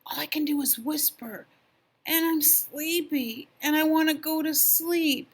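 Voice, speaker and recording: A woman voicing a sleepy, helpless little storybook rabbit in a hushed, drowsy whisper. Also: high-pitched, measured pace, voice pushed up.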